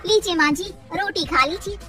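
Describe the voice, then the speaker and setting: A woman's voice: extremely high pitch, normal speed, bright, forceful tone, far from the mic, soft background music. A woman voicing a young village daughter-in-law who speaks respectfully and earnestly.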